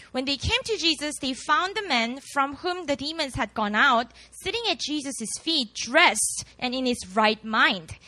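Bright-voiced Korean-accented woman pastor. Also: sits high, no echo, low-quality recording. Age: late 20s. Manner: chatty, self-deprecating, laughs often. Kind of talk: sermon with scripture reading.

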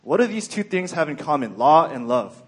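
A young man from Texas giving a sermon in a calm, even-keeled way with frequent ums and okays. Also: fairly high pitch, normal speed, breathy edge. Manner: even-keeled, plain-spoken, frequent "um" and "okay". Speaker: church speaker.

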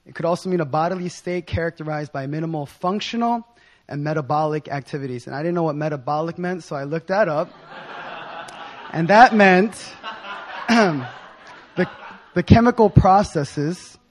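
A young man with a hoarse voice giving an informal sermon in a casual, slangy, excited manner. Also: fairly high pitch. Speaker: preacher.

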